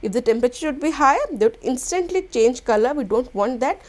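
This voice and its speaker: A woman, hosting a cooking channel and explaining a recipe step by step in a gentle, instructional voice-over. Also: sits high, lively intonation, quick pace. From India.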